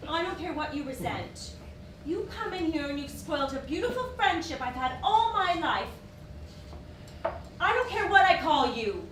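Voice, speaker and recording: A woman acting an agitated, earnest society lady in a stage play, with halting, emphatic delivery. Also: high-pitched, sing-song. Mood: indignant. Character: Diana, agitated earnest society woman, indignant when accused. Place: theatre stage. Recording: roomy, distant, noisy theatre stage recording.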